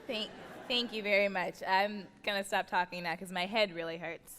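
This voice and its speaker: American lady comedian telling a funny story live, really bright voice, big echoey theatre. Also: mid-range voice, fairly even intonation, moderate pace. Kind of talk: live comic storytelling in a staged radio play. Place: large theatre stage. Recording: echoey theatre hall, live stage noise.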